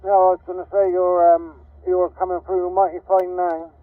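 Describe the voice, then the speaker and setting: A tinny, phone-like CB transmission full of loud noise carries a high-pitched man's voice. He sounds like an operator relaying calls in casual radio talk. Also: monotone, normal speed, recorded from a distance.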